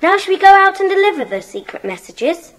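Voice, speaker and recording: A chirpy young schoolgirl in a children's audio play. Her voice is high and lively, with a keen, practical way of talking. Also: fairly quick, poor audio. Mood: keen, cheerful. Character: keen, helpful young schoolgirl in a secret club.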